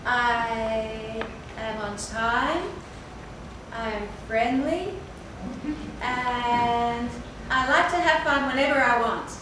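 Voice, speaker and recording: Woman acting nervous friendly job seeker on stage, high slow voice, far from mic in echoey theatre. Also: background noise. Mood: earnest, friendly. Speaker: stage actor. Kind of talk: live amateur stage play dialogue. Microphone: far camera.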